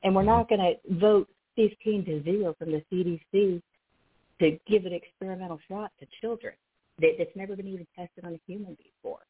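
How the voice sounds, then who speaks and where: A woman talks as a radio guest, excitable and emphatic, often tripping over her starts. Her voice is husky in a middle register. It comes over a thin, phone-like line. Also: mid-range voice, fairly quick. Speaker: guest activist. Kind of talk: casual political talk. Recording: phone-like narrow band.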